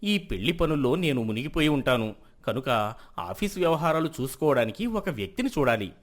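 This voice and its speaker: A man's voice: on the high side, sing-song, fairly quick. A man playing a proud, status-minded middle-aged merchant husband in a moral story, self-justifying and then conceding. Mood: agreeable.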